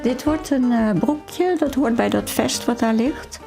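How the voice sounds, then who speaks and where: A woman's voice: on the high side, unhurried, vocal fry, over music. A middle-aged woman talking about her hobby and memories in a personal interview, as a hobbyist. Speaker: miniature-making hobbyist being interviewed.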